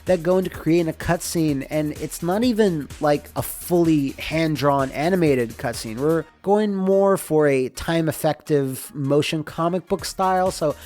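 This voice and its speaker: A man's voice: on the high side, unhurried, hardly any pauses, a little mellow. Casual man narrating over music. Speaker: game developer and narrator. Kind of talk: informal scripted narration of a behind-the-scenes explainer. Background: music under the voice.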